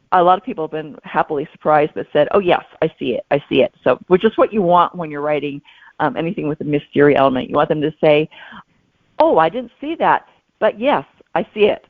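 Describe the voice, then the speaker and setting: Female author guest, gravelly fry in the voice, reflective casual explanations, narrow telephone-like sound. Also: sits low, dry recording. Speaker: guest novelist. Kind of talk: casual interview talk. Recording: thin phone-like audio.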